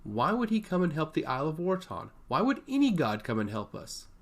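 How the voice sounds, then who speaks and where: A man's voice: fairly high pitch, sing-song, a little fast, pitched above the performer's own voice. A man voicing a skeptical, dismissive female royal advisor in a fantasy story, blunt and scornful.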